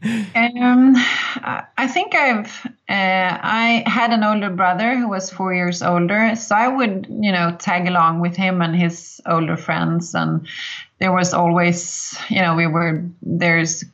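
A Swedish-accented woman in her forties speaks as a podcast guest. Her voice sits on the low side, and her talk is thoughtful, with frequent "uh" pauses and easy laughs. The audio is dull and muffled, as if the upper frequencies were cut off. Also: fairly even intonation, a bit bright. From Sweden. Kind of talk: casual interview talk.

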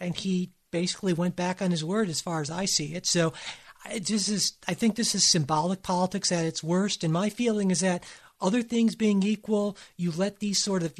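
Male podcast co-host, higher-pitched voice, casual and opinionated political explainer.

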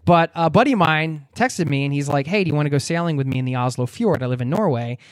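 A man's voice: fairly high pitch, lively intonation, quick pace, dry recording, studio quality. A man hosting a podcast interview in a casual, chatty way, laughing often and using plenty of fillers. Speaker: podcast host.

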